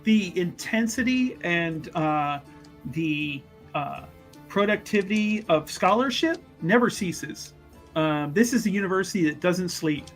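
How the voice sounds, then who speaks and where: A man's voice: high voice, animated, a little slow, soft background music. Man playing a stammering, fawning academic schemer. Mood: fawning, boastful. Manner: stammering, wordy, fawning formality. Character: evasive, obsequious male university cult leader, stalling and limping.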